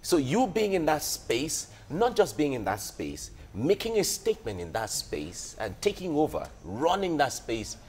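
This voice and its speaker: A man's voice: fairly high pitch, huge pitch swings, measured pace, few pauses, darkish tone, slight background noise. A man with a Nigerian accent hosting a conversational broadcast interview. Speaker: TV show host, interviewer.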